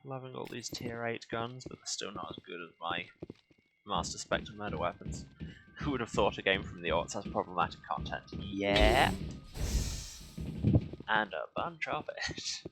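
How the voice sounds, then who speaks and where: A man's voice: on the low side, lively intonation, normal speed, music in the background. A man giving casual, dryly sarcastic commentary while playing a video game. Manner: dry, sarcastic asides. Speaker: host of a game playthrough.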